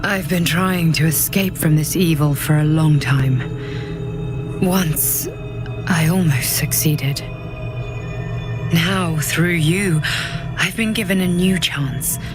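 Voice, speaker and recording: Game role: weary, earnest protector from a dream, grave and unhurried, over prominent dark score. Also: female voice, deep voice. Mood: solemn, persuasive. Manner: measured, earnest, solemn. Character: mysterious dream visitor, urgent, battle-weary protector. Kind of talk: dramatic video game cutscene acting. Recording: loud ominous game music behind.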